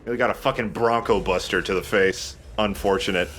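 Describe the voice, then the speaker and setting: A man's voice: on the low side, fairly even intonation, brisk, fairly bright tone, a little hiss or hum. A man hosting a let's play with casual, joking, irreverent game commentary over game sound. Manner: irreverent, profane.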